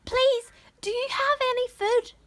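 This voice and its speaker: Young fairy-tale lad played by a woman: eager, polite, very high and excitable. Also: a little slow. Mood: hopeful.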